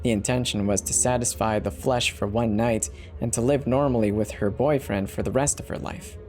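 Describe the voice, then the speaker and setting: A man's voice: medium pitch, fairly even intonation, normal speed, a little mellow. Male horror narrator over background music. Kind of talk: dramatic scripted narration.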